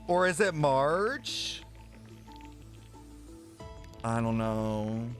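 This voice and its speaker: A man streaming his reaction to a game, excitable and campy, swearing freely and saying "like" constantly. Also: mid-range voice, drawn-out words, soft background music. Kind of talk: casual reaction commentary.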